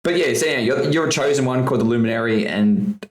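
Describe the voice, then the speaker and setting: A man riffs through a casual podcast chat, cursing freely and laughing between his rants. His voice sits at an ordinary middle pitch. The mic picks up a noticeably echoey room around him. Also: fast talker. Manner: swears often, rants with laughter. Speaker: podcast co-host.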